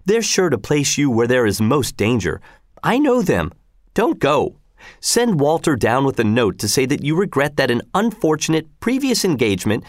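Fretful scolding wife, a man putting her on, high and nagging, dry sound. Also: dry recording. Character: scolding worried wife and mother. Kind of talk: audiobook character reading.

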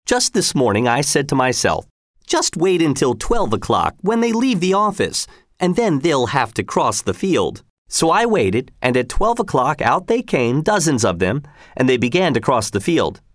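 A man's voice: medium pitch, big pitch swings, normal speed, no echo, studio quality, voice pushed up, close to an everyday voice. A man voicing a grumbling, sarcastic soldier in a storybook, complaining emphatically and repeating himself in weary exasperation. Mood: grudging, exasperated. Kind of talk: storybook character voice.

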